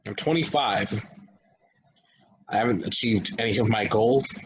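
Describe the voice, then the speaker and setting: A man's voice: on the low side, measured pace, breathy edge, highs cut off. A man playing a rattled, defensive but earnest young man of twenty-five in a table reading of a stage play. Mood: resigned, confiding.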